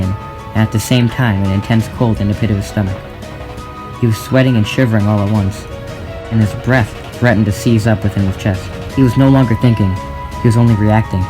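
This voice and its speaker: Low-pitched man narrating a dramatic story. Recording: music behind the voice. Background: clear music.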